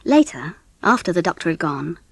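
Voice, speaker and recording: A woman narrating a children's story audiobook in an expressive, scripted style. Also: fairly low pitch, normal speed, gravelly, breathy edge, dry recording.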